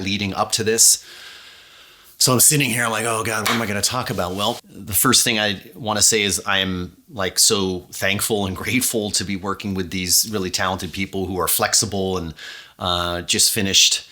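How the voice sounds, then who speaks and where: A man speaking casually and effusively as an audio drama director, halting as he finds his words. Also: low voice, bright, forceful tone. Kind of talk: casual, unscripted behind-the-scenes talk.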